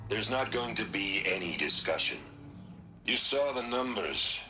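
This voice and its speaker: A coldly resolute military leader in a video game. His voice is very deep and intensely hard-edged, with deliberate authority. Faint music runs underneath. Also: lively intonation, pushed and bright, heavily acted. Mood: grave, resolute. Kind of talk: dramatic video game acting.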